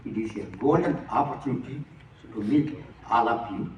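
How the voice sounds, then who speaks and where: A man with a Tamil Indian English accent, a grandmaster giving a slow, halting formal speech to an audience. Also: medium pitch, slight background noise. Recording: echoey hall, mic far off.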